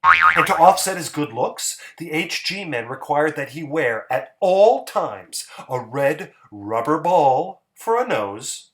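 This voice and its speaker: A man giving a dramatic story narration, theatrical and emphatic, with pauses for effect. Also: a little high, sing-song, fairly bright tone.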